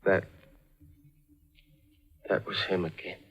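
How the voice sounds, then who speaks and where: An American actor playing a frightened, swaggering small-time crook in a 1940s radio thriller, talking in jittery tough slang. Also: male voice, slow pace, a bit bright, muffled recording. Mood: shaken. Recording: vintage 1940s radio recording, music underneath.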